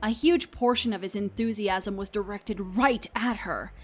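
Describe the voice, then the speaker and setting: A woman's voice: mid-range voice, steady tone, unhurried. A woman reading scripted story narration as the narrator of an audio play. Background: music.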